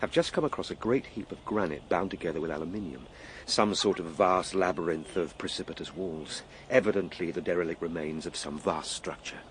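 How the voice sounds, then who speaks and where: Earnest, formal Victorian time traveller, noisy backdrop. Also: male voice, low-pitched, a little fast, fairly bright tone. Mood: curious, observant. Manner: declamatory, formal, spoken as a live log. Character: earnest Victorian time traveller, male, observant, excitable, quick to panic. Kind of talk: dramatised radio play monologue.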